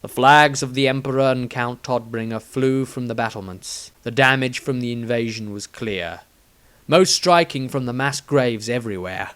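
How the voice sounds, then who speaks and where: A man reading a fantasy story as a dramatic audiobook narrator, with theatrical, deliberate emphasis. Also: mid-range voice, fairly bright tone, clear, resonant tone.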